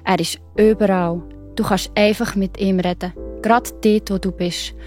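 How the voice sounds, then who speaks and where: A woman's voice: mid-range voice, steady tone, moderate pace, a little mellow. A woman with a Swiss German accent telling a story to children, explaining it simply and expressively. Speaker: narrator. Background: music.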